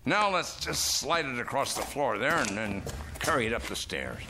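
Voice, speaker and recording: A man's voice: mid-range voice, lively intonation, moderate pace, music in the background, close to an everyday voice, poor audio. An actor playing a cranky, nostalgic old man in a radio drama, grumbling and theatrical. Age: older.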